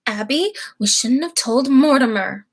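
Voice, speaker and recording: A woman's voice: sits high, animated, a little slow, slightly rough, full character voice. A teenage girl playing a sweet, proper elderly aunt with a matter-of-fact manner in a school radio play. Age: teens.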